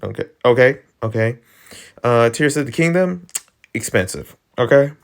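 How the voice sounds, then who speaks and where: A man's voice: medium pitch, animated, normal speed, vocal fry. A man with an East Coast American accent hosting his channel, ranting in a rambling way with stammered restarts and laughs. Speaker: channel host. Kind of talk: casual rant vlog.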